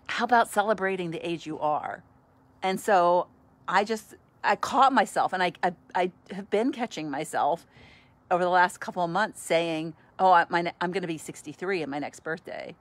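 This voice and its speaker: A woman in her sixties, a podcast host, chatting casually and cheerfully into a phone at arm's length on a sunny outdoor patio, laughing often. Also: medium pitch. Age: 60s. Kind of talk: casual selfie video chat.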